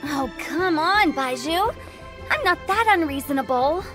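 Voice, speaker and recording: A woman voicing a lively, scheming young funeral parlor director in a game cutscene. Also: high-pitched, measured pace, music in the background. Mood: teasing.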